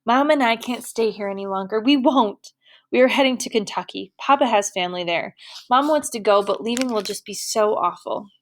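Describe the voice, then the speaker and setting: A woman voicing an upset young girl who is tearful, pleading and quick to anger. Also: on the high side, a little hiss or hum, close to an everyday voice.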